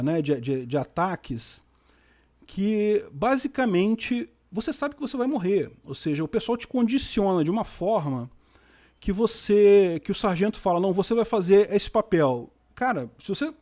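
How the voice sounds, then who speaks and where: A man's voice: a little high, darkish tone. A Brazilian man in his fifties with a Rio de Janeiro accent, hosting a loose live stream and answering viewers. He talks casually in a slightly raised voice, full of slang, fillers and restarts. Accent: Rio de Janeiro Portuguese. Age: 50s. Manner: rambling with frequent restarts and fillers; informal, uses slang and mild swearing.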